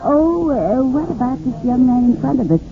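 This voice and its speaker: A woman's voice: high-pitched, animated, moderate pace, faint music behind. A woman playing a fussy, easily flustered wife in 1940s radio acting style. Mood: teasing, fussy. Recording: narrow, clearly noisy 1940s radio recording.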